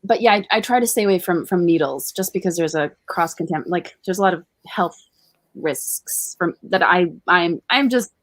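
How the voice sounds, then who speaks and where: A woman's voice: lowish voice, moderate pace, no echo. Candid woman in a dry-sounding interview. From Bosnia. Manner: frank, unguarded, with casual swearing. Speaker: interview guest, professional dominatrix and educator. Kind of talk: casual, candid interview chat.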